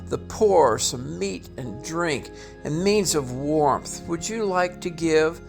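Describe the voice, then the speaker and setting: A courteous gentleman acted by a man reading a story makes a measured, polite appeal. He speaks slowly in a slightly high voice, over faint music. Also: expressive, gravelly, exaggerated voice. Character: polite gentleman collecting charity for the poor.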